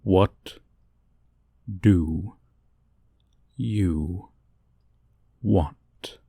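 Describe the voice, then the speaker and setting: A man's voice: low voice, drawn-out words, gravelly, mellow, husky, no echo, full character voice. A man voicing a haughty dragon professor in an ASMR roleplay, condescending to a human student in pompous, archaic, formal diction. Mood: irritated, contemptuous.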